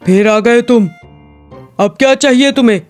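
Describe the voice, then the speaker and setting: Man playing a high-voiced, scornful rich merchant. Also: moderate pace, faint music behind. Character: harsh, scornful rich merchant elder brother. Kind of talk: cartoon storybook acting.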